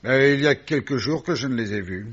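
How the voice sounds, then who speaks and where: A man playing a sly, falsely affable cousin in a French radio drama, with a husky, casual, insinuating theatrical delivery. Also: mid-range voice, fairly quick, a bit bright. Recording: dull, lo-fi old radio recording.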